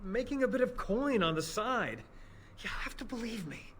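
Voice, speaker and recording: An actor playing a frightened young spy in a video game, nervous and pleading for mercy. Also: male voice, high-pitched, sing-song, normal speed, a bit bright, slight background noise.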